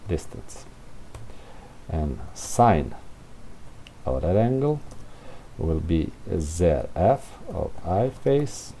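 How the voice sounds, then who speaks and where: Low, mellow, slow-talking man thinking aloud. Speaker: presenter of a programming tutorial. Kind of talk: informal unscripted screencast walkthrough of code. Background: room heater hiss.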